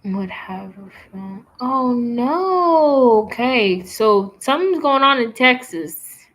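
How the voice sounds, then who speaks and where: A woman's voice: on the high side, animated, slow pace, fairly bright tone. A woman hosting a casual livestream, chatting and reading viewer comments in a rambling way with many fillers. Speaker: livestream host.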